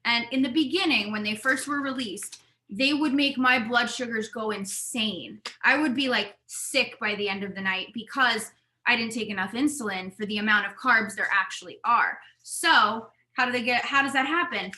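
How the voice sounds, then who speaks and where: A woman's voice: on the high side, normal speed. A woman vlogger losing her voice, chatting in a rambling, talkative way while she reviews snacks. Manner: rambling, talkative, animated asides. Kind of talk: casual chatty product review.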